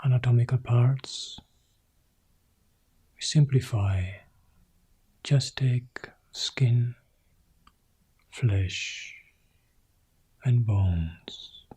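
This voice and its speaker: A man leading a guided meditation as a teacher, speaking calmly in short, sparse phrases with long pauses, in a soft, husky, breathy voice. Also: medium pitch. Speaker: meditation teacher guiding a practice.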